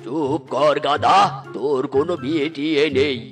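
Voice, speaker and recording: A man's voice: on the high side, normal speed, lightly acted. Man voicing a scornful, scolding cartoon father. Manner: dismissive, sarcastic, scolding. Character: gruff, scornful poor father animal scolding his son. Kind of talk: exaggerated comic cartoon acting. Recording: faint music under the voice.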